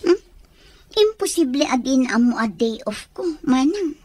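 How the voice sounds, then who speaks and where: Radio drama: jittery, evasive female servant, Filipino accent, high voice, stammering delivery. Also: sing-song, measured pace, dark tone, no room sound, full character voice. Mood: flustered. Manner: hesitant, stammering. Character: nervous, evasive young female house servant. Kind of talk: Ilocano radio drama acting.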